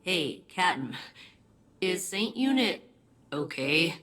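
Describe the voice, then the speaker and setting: A woman's voice: on the low side, sing-song, slow pace, a bit bright, slight background noise, heavily acted. A woman voicing a worried, friendly teammate in a video game, casual and a little hesitant.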